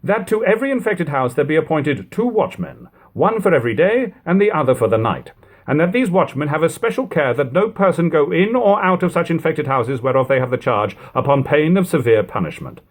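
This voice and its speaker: A man's voice: a little high, big pitch swings, fairly quick. Man reading an old historical text aloud. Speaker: amateur audiobook reader and host. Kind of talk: literary audiobook reading of an archaic historical text.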